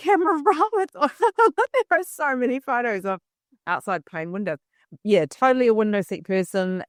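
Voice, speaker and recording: Woman with a New Zealand accent, podcast co-host, lower voice, relaxed and chatty, dry mic. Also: no room sound. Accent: New Zealand, softened by years in Australia. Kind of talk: casual chat.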